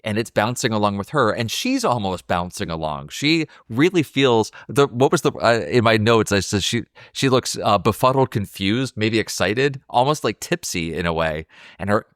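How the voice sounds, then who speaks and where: Low-voiced man chatting casually about film. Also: expressive, normal speed, no echo, studio quality. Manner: enthusiastic, thinking aloud. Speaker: podcast host.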